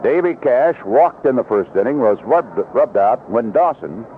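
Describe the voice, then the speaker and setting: A man's voice: medium pitch, lively intonation, normal speed. Old 70s radio baseball play-by-play guy, voice swings up and down, thin lo-fi sound, bit of noise. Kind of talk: live radio sports commentary. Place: outdoor ballpark broadcast position. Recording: vintage 1970s radio broadcast, lo-fi, noisy.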